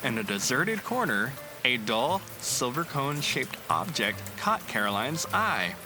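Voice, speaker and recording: A man narrating a children's picture book aloud in an expressive, storytelling way. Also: medium pitch, measured pace, over music, low-quality recording.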